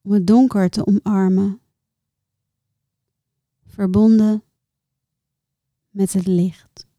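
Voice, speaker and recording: A woman reciting her own poems in a measured, contemplative way, with deliberate pauses between short phrases. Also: mid-range voice, little pitch movement, warm tone, no room sound, studio quality. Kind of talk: poetry recitation.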